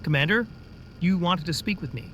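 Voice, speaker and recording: A man's voice: sits high, animated, a little fast, fairly bright tone, slight background noise. A man voicing a dutiful captain who asks respectful questions of his superior. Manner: respectful, inquisitive.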